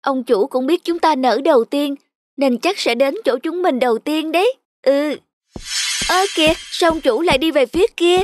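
A woman voicing a young, vain female sunflower in a children's cartoon, expressive and exclamatory. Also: high voice, moderate pace, natural voice. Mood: eager, hopeful. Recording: music behind the voice.

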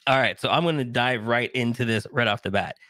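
A man's voice: mid-range voice, brisk, sharp tone, no echo. A middle-aged man hosting a show from a home studio, hyped and enthusiastic, repeating his phrases. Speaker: host. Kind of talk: upbeat show host intro. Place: home studio with purple lighting. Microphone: boom-arm podcast mic.

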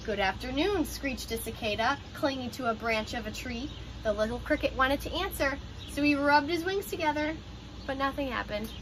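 A young adult woman reading a children's picture book aloud in an expressive, child-directed way, like a teacher. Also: high voice, normal speed. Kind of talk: children's storybook read-aloud. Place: outdoors on a porch by glass doors. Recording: ambient outdoor noise behind voice.

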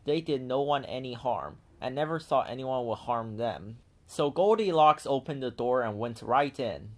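A man narrating a children's storybook in an animated read-aloud style. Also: medium pitch, moderate pace.

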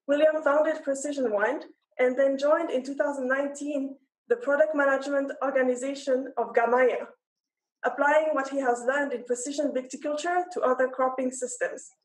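A young woman with a very high voice reads out a formal, prepared welcome as an event host. The sound is strongly roomy, like a laptop picking her up in a big empty hall. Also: fairly even intonation, moderate pace. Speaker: event host introducing a panel. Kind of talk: scripted formal introduction. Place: large empty event hall with rows of chairs.

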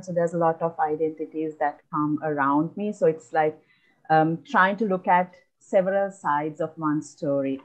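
A woman's voice: lowish voice, a little mellow. A woman in her thirties with a Sri Lankan accent, informally introducing herself as a poet over a video call. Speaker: poet and translator. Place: indoor room with bookshelves. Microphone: video call, close to the camera. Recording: dull video-call audio.

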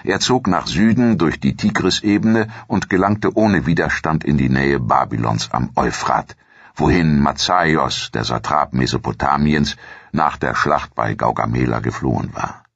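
A man reading a scripted history documentary in a measured, solemn manner. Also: rumbling low, creaky, husky, dry recording, dull audio. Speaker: documentary narrator.

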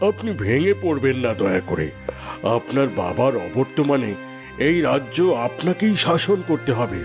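A man's voice: mid-range voice, big pitch swings, moderate pace, over music, natural voice. A man voicing a cunning, formal and authoritative royal minister in a fairy tale cartoon. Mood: solemn, persuading.

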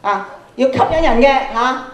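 Echoey, higher-pitched Hong Kong Cantonese woman lecturing. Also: animated, measured pace. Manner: questioning, prompts listeners with frequent tag questions. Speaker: workshop speaker, radio programme producer. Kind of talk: interactive lecture to schoolchildren. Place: large school auditorium, indoors. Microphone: handheld microphone, filmed from audience. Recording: echoey hall sound from PA.

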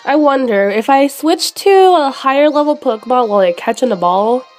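A young woman streaming a handheld game, sick and losing her voice, chatting excitably with many fillers. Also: high-pitched, normal speed, soft background music. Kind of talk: live shiny hunting commentary.

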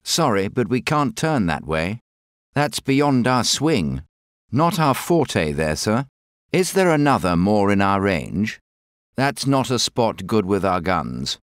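A man's voice: lowish voice, very animated, slow pace, clean tone, no room sound, studio quality. A man voicing a polite, deferential British artillery soldier with a British accent, reporting to his officer. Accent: British English. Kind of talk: game unit voice lines.

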